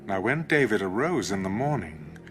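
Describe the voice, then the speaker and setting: A man narrating scripture as a scripted audio Bible, in a measured storytelling manner. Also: lowish voice, big pitch swings, fairly bright tone, faint music behind.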